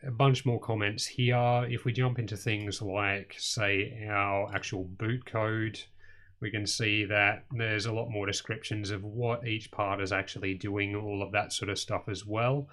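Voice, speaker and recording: A young man hosting a casual electronics coding tutorial, slightly hoarse as he loses his voice, rambling with lots of ums and sort-ofs. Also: fairly low pitch, fairly even intonation, takes it slowly, a bit bright. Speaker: host of an electronics channel.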